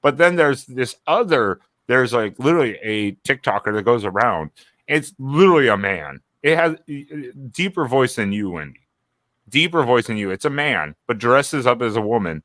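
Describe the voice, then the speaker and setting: A man's voice: mid-range voice, very animated, moderate pace, fairly bright tone, no echo. A man co-hosting a casual evening livestream hangout, rambling and often restarting his sentences. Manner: rambling, repeats words and restarts. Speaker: co-host.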